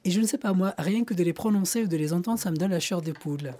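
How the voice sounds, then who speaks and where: A woman teacher with a low-pitched, softly mellow voice. She talks in a reflective, personal way, as someone recounting experience, and sometimes gives isolated words. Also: fast. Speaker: Arabic teacher. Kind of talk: reflective personal account and single-word recitation.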